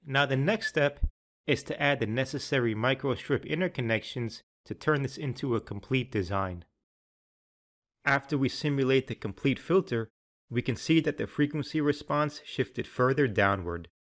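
A man reading scripted instructional narration in a measured, clearly enunciated, explanatory way. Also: mid-range voice, expressive, fairly bright tone. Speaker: software tutorial narrator.

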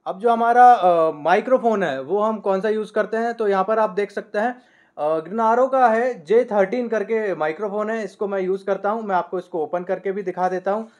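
Young male vlogger, fairly high voice, casual gear walkthrough with lots of "uh", podcast mic at a desk. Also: moderate pace. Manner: relaxed, many "uh" fillers, shows each item. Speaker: moto vlogger reviewing his gear. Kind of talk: casual product review to camera. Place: small indoor room at a desk. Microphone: podcast mic on a boom arm by the desk.

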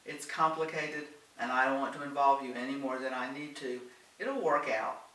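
A man's voice: mid-range voice, moderate pace, bright, forceful tone, echoey room, distant mic, close to an everyday voice, rough recording. A man reading the part of a troubled, evasive son in a storybook, reluctant and placating. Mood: apologetic.

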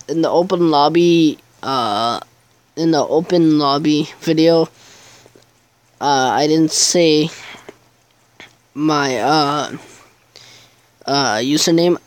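A man's voice: fairly high pitch, a little hiss or hum. A teenage boy chatting casually over his gameplay video, rambling and hesitant, with many fillers. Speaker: gaming YouTuber.

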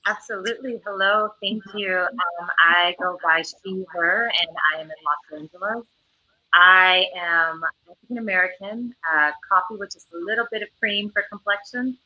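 A woman panelist describing herself on a video call from a room at home, friendly and unhurried. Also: medium pitch, lo-fi sound. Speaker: panelist, film director.

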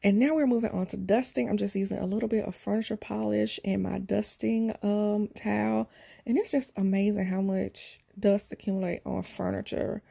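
A woman in her thirties talks through her cleaning in a casual, self-deprecating voice-over. Her voice is deeply gravelly and a little husky, with a mellow, warm tone. She chats to her viewers as if to friends. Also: steady tone, normal speed. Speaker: vlogger. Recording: voice-over over background music.

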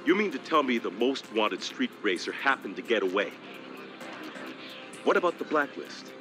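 An actor playing an exasperated, demanding police officer in a video game, sounding incredulous. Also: male voice, mid-range voice, sing-song, normal speed, music in the background, lightly acted, low-quality recording. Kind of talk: video game cutscene acting.

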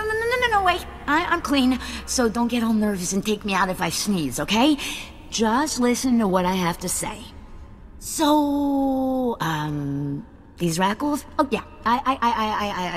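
A woman's voice: a little high, sing-song, moderate pace. A woman playing a nervy, streetwise shuttle pilot in a video game, talking casually with stammers and looking out for herself. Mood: panicked, nervous. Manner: casual, stammering, self-protective. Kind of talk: video game cutscene dialogue. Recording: long hall reverb, faint game music.

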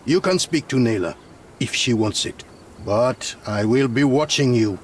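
An actor playing a protective, middle-aged refugee man in a game, grave, wary and measured. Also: medium pitch, close to an everyday voice. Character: guarded, protective male refugee leader. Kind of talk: dramatic game cutscene dialogue. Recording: faint night insect noise behind.

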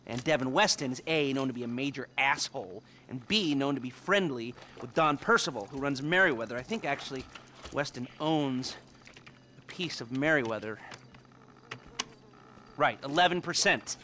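An actor playing an anxious, scheming middle-aged crime planner in a video game cutscene, speaking haltingly and with emphasis. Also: male voice, mid-range voice, pushed and bright, a little hiss or hum, close to an everyday voice.

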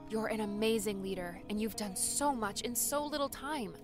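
A woman's voice: mid-range voice, moderate pace, faint music behind, lightly acted. A woman playing an earnest, encouraging young woman in a dramatised audiobook. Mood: earnest, reassuring. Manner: earnest, reassuring.

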